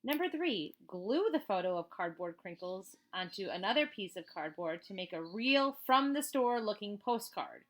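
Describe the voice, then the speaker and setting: A woman reading a children's book aloud as a teacher, in an expressive, chatty way with casual asides. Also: mid-range voice, normal speed, hardly any pauses. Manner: expressive, chatty, emphatic on list items.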